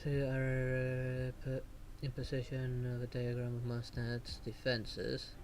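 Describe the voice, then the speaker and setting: A man streaming a video game, giving casual commentary and haltingly thinking aloud. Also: medium pitch, monotone, darkish tone, slight background noise.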